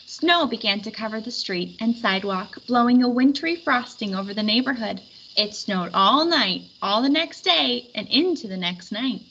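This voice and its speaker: A woman reading a children's picture book aloud with expressive storytelling. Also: on the high side, vocal fry, muffled recording, slight background noise. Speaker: storybook reader.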